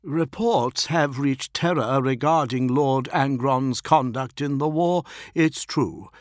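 A man voicing a foppish, deferential imperial envoy in an audiobook, careful and uneasy, with smooth formal phrasing. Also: mid-range voice, very animated, unhurried, no echo, studio quality, voice pushed up. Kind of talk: dramatic audiobook character voice.

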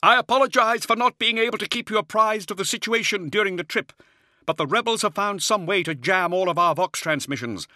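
A man voicing a wiry male army colonel who reports in a loud, strained voice. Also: sits high, brisk, no room sound, clean studio sound, pitched above the performer's own voice. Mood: urgent. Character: urgent male soldier colonel, wiry, shouting.

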